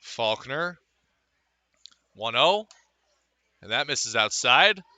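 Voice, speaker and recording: A man's voice: medium pitch, lively intonation, drawn-out words, pushed and bright, no room sound. A man calling live baseball play-by-play for radio. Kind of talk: live radio sports commentary.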